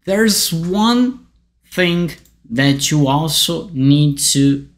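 A man in his thirties from Brazil, with a Brazilian Portuguese accent, giving a friendly software tutorial from a bright indoor room. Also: fairly high pitch, lively intonation, very slow. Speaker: tech writer demonstrating software.

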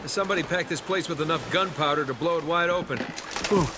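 A man's voice: on the high side, background noise, natural voice. An actor playing a wisecracking adult male treasure hunter in a video game, talking in a wry, joking way. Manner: wry, quick with jokes. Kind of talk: video game cutscene acting. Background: loud game score.

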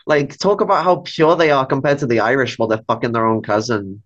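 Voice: medium pitch, fairly even intonation, quick pace, no room sound. A young adult with a British accent chatting casually and irreverently over a video call. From United Kingdom. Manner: irreverent, wry. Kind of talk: casual chat. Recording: dull, dry call audio.

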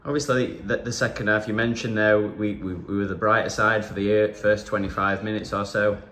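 A man's voice: lowish voice, little pitch movement, a little fast, fairly bright tone. A man, interviewing for the club channel in a conversational way, often stumbling over his words. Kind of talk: post-match interview questions. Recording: echoey room, faint background noise.

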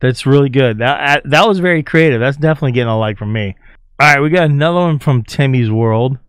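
A man's voice: medium pitch, fast, clean tone, no room sound, clean studio sound. A man with an informal, slangy way of talking, giving casual reaction commentary as a video host. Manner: informal, slangy, often chuckling.

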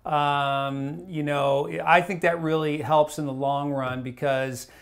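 Gravelly-voiced man giving a fishing report. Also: a little high, slow pace. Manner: relaxed, rambling, many fillers and restarts. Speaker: fly shop fishing reporter. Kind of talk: casual fishing report to camera. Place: small wood-paneled room indoors.

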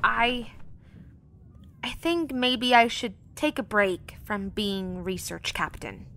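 Audio drama girl: sincere and hesitant, bright, unhurried. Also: medium pitch, lively intonation, a little hiss or hum. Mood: subdued. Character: earnest, hesitant girl, young research captain and gamer.

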